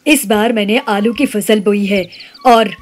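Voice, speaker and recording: A woman's voice: mid-range voice, steady tone, unhurried, close to an everyday voice. A woman voicing male bird characters in a children's cartoon, with an emphatic, declamatory delivery. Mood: earnest. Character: male cartoon birds: earnest husband, greedy mall boss, villagers. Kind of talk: scripted children's cartoon dialogue.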